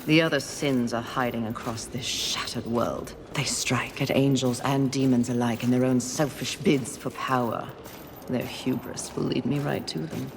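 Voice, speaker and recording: A woman's voice: rumbling low, steady tone, normal speed, background noise. An actress playing a haughty, scornful female warrior of the apocalypse in a video game cutscene, imperious and dry. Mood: confident.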